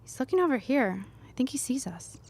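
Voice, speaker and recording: A woman's voice: high voice, very animated, brisk, a little mellow. A woman playing a playful, witty, observant young woman in an audio drama. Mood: curious.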